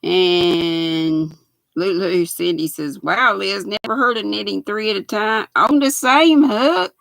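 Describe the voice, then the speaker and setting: Raspy older woman, slow and gravelly. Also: medium pitch, fairly bright tone, no echo. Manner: chatty, folksy, greets viewers by name. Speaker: knitting livestream host. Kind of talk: casual live chat while sewing.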